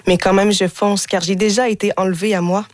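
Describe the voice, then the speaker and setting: A woman in her twenties, born in Haiti, reciting her own spoken-word poetry in a measured, deliberate way in a radio studio. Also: medium pitch, level tone, no echo. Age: 20s. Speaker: guest poet.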